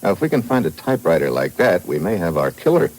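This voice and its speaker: An actor playing a tough, shrewd investigator in a 1950s radio thriller, with clipped, matter-of-fact delivery. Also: male voice, sits low, big pitch swings, quick pace, darkish tone. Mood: probing, brisk. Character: tough, shrewd male investigator narrating his own case. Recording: noisy old radio recording.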